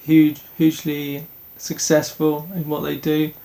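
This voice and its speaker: A young man from Denmark, a speedway rider answering interview questions in an earnest, halting way with many restarts. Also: fairly high pitch, monotone, creaky, mellow, poor audio.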